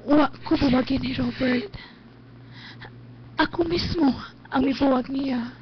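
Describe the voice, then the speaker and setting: An actress playing a remorseful woman seeking her family's forgiveness in a radio drama, halting and pleading, with a Visayan Filipino accent. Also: high voice, fairly even intonation, a little hiss or hum. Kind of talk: Philippine radio drama acting. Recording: dull lo-fi live stream, faint music.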